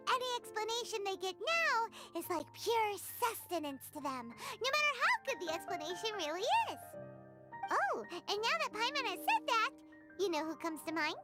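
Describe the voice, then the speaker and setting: A woman voicing a small, excitable childlike fairy companion in a game, blunt and cheerful with a squeaky delivery. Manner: exclamatory, speaks of self by name. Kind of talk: animated game voice acting. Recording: loud music under the voice.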